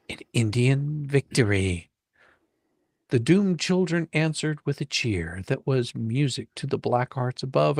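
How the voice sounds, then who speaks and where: A man's voice: medium pitch, vocal fry, no room sound, studio quality. A man giving a dramatic, hammy, comedic live reading of an adventure storybook. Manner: hammy, ad-libs folksy drawling turns of phrase. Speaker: storyteller reading a book aloud to a live audience.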